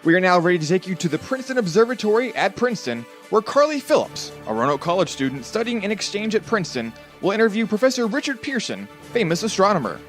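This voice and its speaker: Man playing a fast-talking radio news announcer. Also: sits high, very animated, fairly bright tone, soft background music. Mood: formal, urgent. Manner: formal, announcer-like delivery. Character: urgent male radio news announcer, formal and brisk. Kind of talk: radio play news bulletin.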